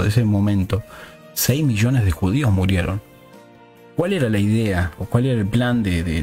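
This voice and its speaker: A man's voice: lowish voice, darkish tone. A man preaches a teaching sermon in a didactic way, often checking in with listeners through short rhetorical questions.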